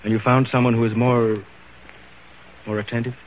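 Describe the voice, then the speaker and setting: An earnest, probing husband played by a man in a vintage radio play, with a mid-pitched voice. He talks in measured, slightly hesitant phrases over a narrow, tinny broadcast sound with some noise. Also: little pitch movement, lightly acted. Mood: probing, restrained. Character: neglectful businessman husband, earnest and pleading. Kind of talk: old-time radio drama acting. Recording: tinny, noisy old radio recording.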